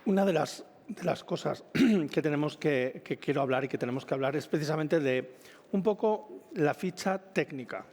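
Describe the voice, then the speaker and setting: A man's voice: fairly high pitch, animated, normal speed, a little mellow. A man with a Spanish accent from Spain hosts an informal interview, rambling and correcting himself as he goes. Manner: rambling, enthusiastic, self-correcting. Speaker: event presenter and interviewer. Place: indoor launch event venue. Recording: reverberant event hall.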